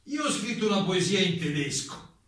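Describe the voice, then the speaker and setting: An actor playing a German playwright answering a hearing with slow, deliberate, evasive politeness in a stage drama. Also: male voice, high-pitched, big pitch swings, fairly bright tone. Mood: calmly evasive. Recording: echoey stage, slightly distant mic.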